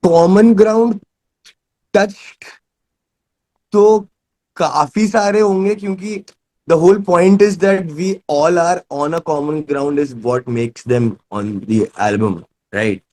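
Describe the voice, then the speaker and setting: A man's voice: on the high side, moderate pace, darkish tone, dry recording, low-quality recording. A man with an Indian accent talking casually as an interview guest, switching often between Hindi and English. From Delhi, India.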